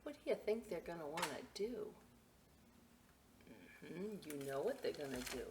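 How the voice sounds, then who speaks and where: A woman reading stories aloud to young children in a playful, expressive way, with chatty asides and questions she often answers herself. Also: lowish voice, moderate pace, noisy background. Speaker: children's story time reader at a library.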